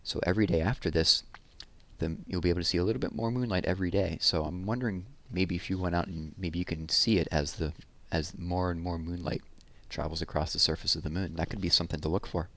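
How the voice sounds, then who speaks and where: A man's voice: sits low, fairly even intonation, quick pace, fairly bright tone. A man hosting a read-aloud, reading children's poems expressively and explaining things simply to young listeners.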